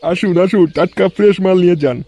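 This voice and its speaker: A man's voice: high-pitched, fast, dark tone, a little hiss or hum. A man voicing a grumbling, foul-mouthed comedy cartoon man, given to blustering exclamations. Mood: grumbling, irritated.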